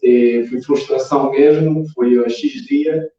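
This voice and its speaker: Male interview guest, Cape Verdean accent, mellow and hesitant, heard from far off in a very reverberant space. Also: mid-range voice, fairly even intonation, slow pace. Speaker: interview guest, animation creator. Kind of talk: casual interview answers. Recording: very echoey, distant, dull sound.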